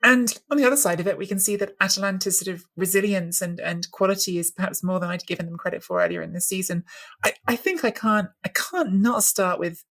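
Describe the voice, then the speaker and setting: A woman co-hosting a football podcast, thinking aloud about tactics in a casual chat. Her voice sits at an ordinary middle pitch. She often restarts her sentences while she hunts for the right word. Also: quick pace, fairly bright tone. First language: English. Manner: thoughtful, many restarts while searching for words. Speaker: podcast co-host.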